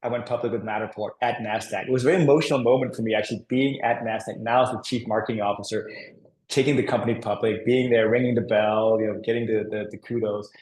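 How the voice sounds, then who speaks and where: A man in his forties from Denmark, a guest on a podcast interview, telling his story in an upbeat, quick way with many restarts. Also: fairly low pitch, steady tone, a little mellow, echoey room, rough recording. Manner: fast, upbeat storytelling with frequent restarts and laughs. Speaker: podcast guest, marketing executive. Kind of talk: casual interview talk.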